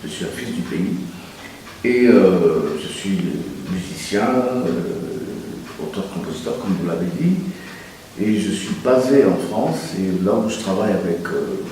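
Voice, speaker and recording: Interviewee: low, mellow male voice, hesitant and full of fillers, recorded far off in a reverberant room. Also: expressive, unhurried, a little hiss or hum. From Republic of the Congo. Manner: hesitant, many fillers and restarts. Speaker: music producer and arranger. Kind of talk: TV interview answers. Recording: echoey room, far from the mic.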